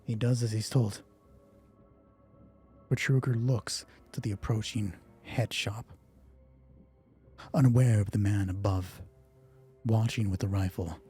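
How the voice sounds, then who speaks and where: A man's voice: fairly low pitch, steady tone, measured pace, pauses often, mellow, husky, faint music behind. A man narrating a solo tabletop roleplay as game master, informal and moving between dramatic storytelling and thinking aloud.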